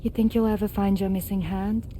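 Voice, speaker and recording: A woman's voice: medium pitch, fairly even intonation, a little fast, a little hiss or hum, natural voice, poor audio. An actress playing a tough, reassuring woman courier leader in a video game, assured and plain-spoken. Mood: matter-of-fact. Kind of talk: video game cutscene dialogue. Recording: loud music behind the voice.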